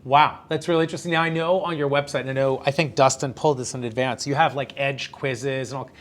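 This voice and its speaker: A middle-aged man hosting an interview on a video call with a lapel mic, asking animated questions and often chiming in with short agreements. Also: mid-range voice. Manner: quick, lots of backchannel "right" and "mm-hmm", animated questions. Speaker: interview host. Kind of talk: conversational interview.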